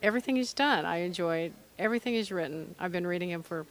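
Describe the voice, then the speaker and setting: A woman's voice: lowish voice, fast talker. A middle-aged or older woman giving casual interview remarks as a book fan.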